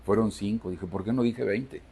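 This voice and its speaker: A man's voice: fairly low pitch, normal speed, vocal fry, warm tone, slight background noise. A Mexican man in his sixties preaching a Sunday sermon to his church, emphatic, asking the congregation questions and answering them himself. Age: 60s. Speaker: pastor. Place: church service.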